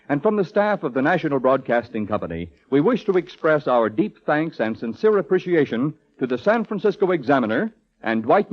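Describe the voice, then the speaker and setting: American male announcer, 1940s radio style, formal, animated intonation, highish voice, dull vintage recording. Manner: formal, polished.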